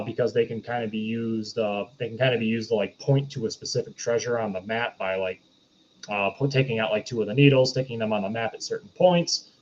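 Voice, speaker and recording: A man gives a casual movie recap as a podcast co-host. He feels his way through it, halting and correcting himself. The sound is a little dull and lo-fi. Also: medium pitch, a little mellow.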